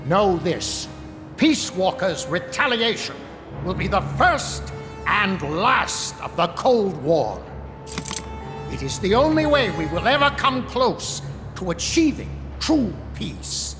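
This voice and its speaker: An actor playing a fanatical American intelligence chief in a video game cutscene, grandiose and dramatic. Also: male voice, sits high, very animated, slow, exaggerated voice. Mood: grandiose, resolute. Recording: reverberant hall, music underneath.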